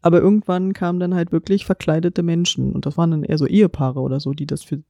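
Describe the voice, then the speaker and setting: A woman's voice: lowish voice, steady tone, quick pace, gravelly, warm tone, no room sound. A woman chatting casually, recounting memories and bits of background in an everyday conversational way.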